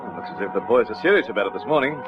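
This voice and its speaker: An actor playing a brisk, easygoing junior police detective, clipped and matter-of-fact, in an old radio drama. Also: male voice, on the low side, expressive, muffled recording, music in the background, natural voice. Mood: alert.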